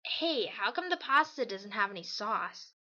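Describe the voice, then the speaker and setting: A woman's voice: mid-range voice, very animated, measured pace, bright, forceful tone, dull audio, full character voice. A woman voicing a brash, casual young female pegasus pony, blunt and enthusiastic, in a story reading. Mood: casual, appreciative. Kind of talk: fan audiobook character acting.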